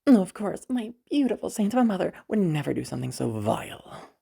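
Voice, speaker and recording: Woman as a sarcastic, husky, defiant daughter. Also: fairly low pitch, sing-song, brisk, mellow, voice pushed up, full character voice. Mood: mocking. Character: defiant, sarcastic grown daughter talking back to her mother. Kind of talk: amateur audio roleplay drama.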